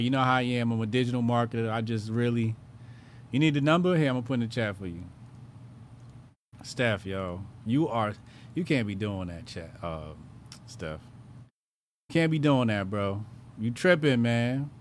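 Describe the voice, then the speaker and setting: A man hosting a call-in show, bantering loudly with callers, laughing and swearing in slang. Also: medium pitch, normal speed, long pauses, slight background noise.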